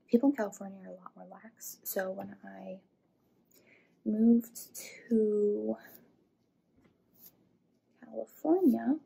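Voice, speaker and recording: A woman in her twenties from the American Midwest, chatting casually through a vintage hair tutorial in a small room, with low whispery asides and quiet audio. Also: vocal fry, breathy edge, rough recording. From Midwest US, Illinois.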